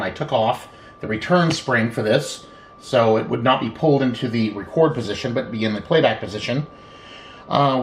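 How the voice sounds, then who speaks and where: A middle-aged man casually demonstrating electronics at a workbench, thinking aloud in an unhurried way as he works. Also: medium pitch. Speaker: electronics repair hobbyist, host. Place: small indoor home workshop at a bench. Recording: roomy, distant mic with background noise.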